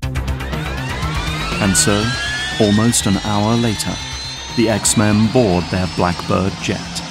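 Narrator, male: fairly deep voice, scripted dramatic scene-setting over background music. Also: wildly swooping pitch, very slow.

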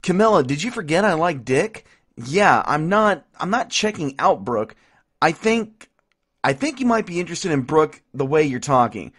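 A man's voice: on the high side, fairly bright tone. A young man playing an easygoing college student in an audio drama, dry and teasing. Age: young adult.

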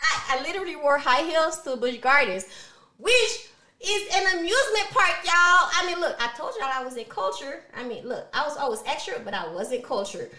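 A woman's voice: high voice, expressive, moderate pace, bright, forceful tone, echoey room. A woman in her thirties from Haiti with a Haitian Creole accent, first language Creole, talking expressively to camera in a motivational vlog and repeating words for emphasis. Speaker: motivational vlogger. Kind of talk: personal motivational talk to camera. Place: small indoor studio room.

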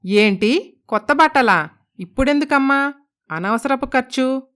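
High clear female voice, a woman playing a miserly middle-aged mother-in-law, stubborn, scolding, blunt, cartoon storytelling. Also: lively intonation, measured pace, fairly bright tone. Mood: suspicious, questioning. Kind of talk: Telugu animated moral story voice acting.

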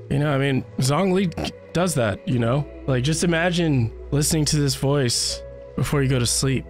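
Laid-back male game streamer, middle pitch. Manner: laid-back, joking asides. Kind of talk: casual livestream commentary. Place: small room at home. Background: faint game music.

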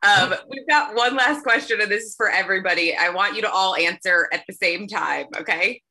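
Bright, breathy young woman moderator. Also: mid-range voice, a little fast, low-quality recording. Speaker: press conference moderator. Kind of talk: moderating a virtual press conference. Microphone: video call.

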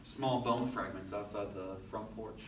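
A teenage boy firing off deadpan one-liners in a school improv game. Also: lowish voice, measured pace. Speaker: improv player. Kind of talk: improv quick answers. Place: school classroom. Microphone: far camera. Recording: echoey classroom from far off, noisy.

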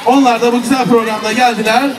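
Male children's entertainer, very high excited pitch, echoey outdoor PA, energetic singalong patter. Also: fast. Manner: energetic, shouting instructions to children and audience. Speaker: children's entertainer hosting a stage show. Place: outdoor festival stage. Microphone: handheld stage microphone over PA. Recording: echoey PA from far off, loud music, crowd.

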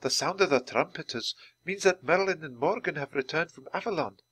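A wise, kindly young enchantress in a storybook, voiced by a man. The voice is raised in pitch and lilting, with a measured, gently commanding manner. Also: lively intonation, husky. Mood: calm, kindly. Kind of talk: children's audiobook character voice.

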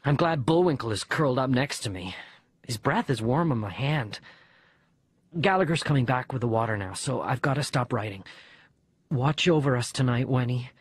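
A man narrates a first-person children's story. His voice is husky and mid-pitched. The recording is dry, with music underneath. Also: animated, normal speed, no room sound. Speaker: audiobook reader.

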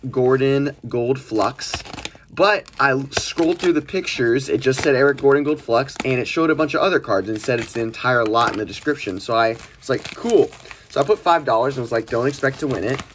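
Young male unboxing host, mid-pitched, informal and chatty, slight background noise with crinkling packaging. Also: brisk, a bit bright. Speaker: host of a trading card unboxing channel. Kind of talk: casual unboxing commentary. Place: small indoor room at a desk. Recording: noisy room, crinkling packaging handling sounds. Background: clicks, crumpling and crinkling of packages.

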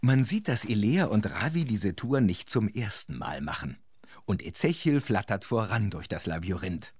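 Husky, animated children's storyteller. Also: male voice, low-pitched, no room sound, studio quality, full character voice. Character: chatty, wondering storyteller in children's audio play. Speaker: narrator. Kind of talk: scripted, playful storytelling narration.

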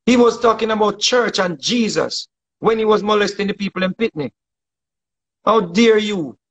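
A man's voice: high-pitched, normal speed, fairly bright tone. A man in his forties with a Jamaican accent, ranting fiercely on a phone livestream from his living room, slipping into patois. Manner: fiery, preachy, lapsing into patois. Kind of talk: animated phone livestream rant. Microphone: phone held close.

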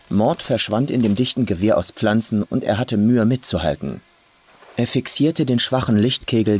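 A man's voice: fairly low pitch, a little mellow, breathy edge. A man reading a scripted horror story as an audiobook narrator. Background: faint atmospheric ambience.

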